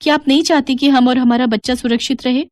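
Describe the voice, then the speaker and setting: A woman's voice: sits high, fairly even intonation, fast talker, a little mellow, dry recording, voice pushed up, lightly acted. A woman voicing a haughty, superstitious young pregnant daughter-in-law in a family story, insistent and emphatic. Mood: scolding.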